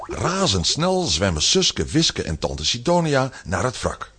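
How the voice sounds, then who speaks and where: A man narrating a children's radio play from a script, with a husky voice and animated storytelling. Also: mid-range voice, unhurried.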